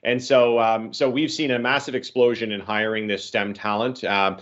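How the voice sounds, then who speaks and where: A man's voice: fairly low pitch, level tone, normal speed, fairly bright tone, dull audio. A man speaking as a panelist on an online panel, answering in a loose, thoughtful way with many fillers and restarts. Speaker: bank talent development executive, panelist.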